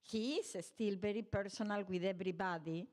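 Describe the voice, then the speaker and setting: A woman's voice: mid-range voice, normal speed, a little mellow. A woman, sharing fond memories of her spiritual teacher in a heartfelt speech at a temple celebration, with some hesitations. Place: temple hall.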